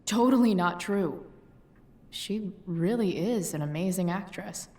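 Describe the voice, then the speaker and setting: A teenage girl's inner monologue, sounding off from the mic and fairly low in pitch. She talks to herself in a dry, wry way, as in a video game. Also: measured pace, dark tone, distant mic. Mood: wry, disbelieving.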